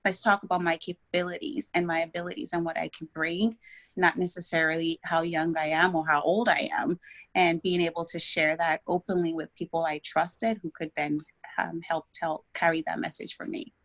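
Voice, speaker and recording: A woman's voice: lowish voice, flat delivery, normal speed, fairly bright tone. A woman from Ghana sharing career advice on a panel in a direct way. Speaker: panelist, operations and strategy leader.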